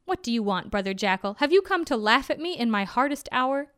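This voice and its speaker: A woman plays a kindly Brahmin from a storybook. He explains himself earnestly and patiently, with a rueful air, in a fairly high voice recorded dry. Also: lively intonation, fairly quick, fairly bright tone, clean tone, dry recording. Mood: sorrowful. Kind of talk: storybook character voice.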